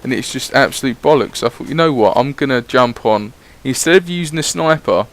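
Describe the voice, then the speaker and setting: Rambling lad on gameplay commentary. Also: male voice, mid-range voice, lively intonation, fairly quick. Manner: rambling, chatty, swears casually. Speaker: gaming YouTuber. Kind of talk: casual voice-over gameplay commentary.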